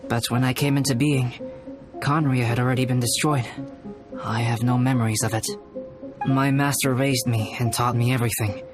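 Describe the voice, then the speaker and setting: An actor playing a calm, scholarly young male alchemist in a video game, speaking in a measured, formal, reflective way. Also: medium pitch, over music, lightly acted. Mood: composed, matter-of-fact. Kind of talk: video game character dialogue.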